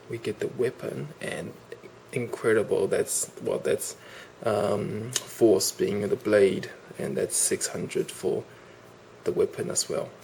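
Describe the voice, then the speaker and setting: A man's voice: on the low side, creaky, a little mellow, a little hiss or hum, low-quality recording. A man with a cold reviewing a toy in a casual, gushing, enthusiastic way, recorded indoors on a camera mic. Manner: enthusiastic, gushing, repeats "awesome" and "really". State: has a cold.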